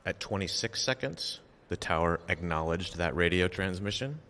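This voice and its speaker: Low-voiced man in a reverberant hall. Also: animated, bright, forceful tone. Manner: measured, factual, deliberate. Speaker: official giving an investigation briefing. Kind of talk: formal prepared statement read at a press briefing. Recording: long reverberant echo of a hall.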